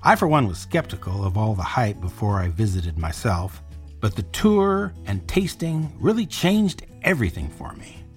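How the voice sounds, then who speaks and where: A man's voice: lowish voice, sing-song, moderate pace, soft background music. An actor playing a persuasive, wry male interview guest in an audio drama, talking in knowing rhetorical turns. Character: persuasive, wry male pitchman for futuristic farming.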